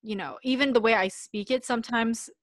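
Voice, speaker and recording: A woman's voice: fairly high pitch, a little fast, dry recording, studio quality. Reflective woman co-hosting a chat podcast. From United States. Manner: reflective, loose, many "like" fillers. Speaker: podcast co-host.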